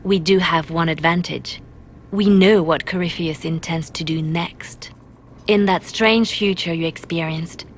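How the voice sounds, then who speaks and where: An actress playing a resolute female advisor to a war leader in a fantasy video game, speaking gravely. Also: lowish voice, a little hiss or hum, lightly acted. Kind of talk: dramatic video game acting.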